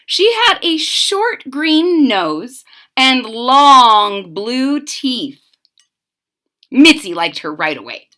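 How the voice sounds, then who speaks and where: A woman with a highish, very animated voice reads a children's storybook aloud. She takes it slowly, drawing out key words for dramatic emphasis. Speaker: storybook reader. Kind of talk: expressive children's read-aloud with explanatory asides.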